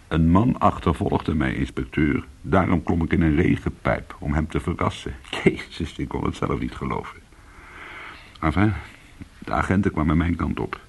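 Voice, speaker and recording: An actor playing a weary, hard-boiled former cat burglar, telling his story in an intimate, brooding and deliberate way in a radio play. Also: rumbling low, gravelly, breathy edge, full character voice. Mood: agitated, tense.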